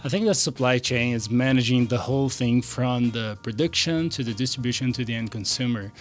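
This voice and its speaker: A man's voice: medium pitch, a little fast, soft background music. A man, an MBA student answering interview questions in a careful, earnest way. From Brazil.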